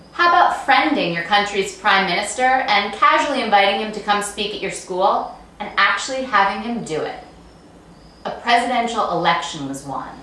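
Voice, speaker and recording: A woman delivering a chatty, scripted promotional pitch as an author, with a breezy, playful manner. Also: bright, forceful tone. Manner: breezy, playful, asks and answers rhetorical questions. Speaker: author promoting her book and talks. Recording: very echoey room, distant mic, faint noise.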